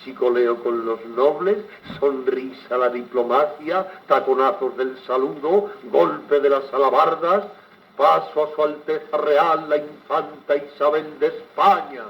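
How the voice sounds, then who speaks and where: A man's voice: fairly high pitch, fairly even intonation, measured pace, a bit bright. A man with an accent from Spain declaiming poetry expressively in an old theatrical style, on a crackly 78 rpm record. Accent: Spanish, from Spain. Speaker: poetry reciter. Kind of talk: theatrical 1940s-style dramatic poetry recitation.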